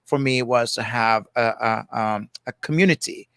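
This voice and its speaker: A reflective Jamaican man in his sixties, talking as a guest in easygoing conversation. His voice sits at an ordinary middle pitch and is recorded close and dry, with almost no room sound. He keeps checking in with "you know" and "right" as he thinks aloud. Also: normal speed, a bit bright, smooth, dry recording. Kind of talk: casual conversation.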